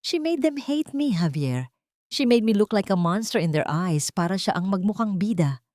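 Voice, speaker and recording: A woman's voice: mid-range voice, big pitch swings, moderate pace, a little mellow, no room sound, clean studio sound, pitched above the performer's own voice, lightly acted. A woman voicing a manipulative rich wife with a sweet, syrupy voice, pleading in a wounded, self-pitying way in an audio drama. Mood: dismissive.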